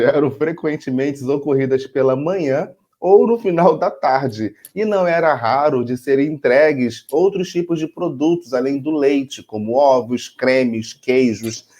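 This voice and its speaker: A man's voice: mid-range voice, dark tone. A Brazilian man co-hosting a casual chat, playful and jokey, reading facts and adding his own sound effects. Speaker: co-host.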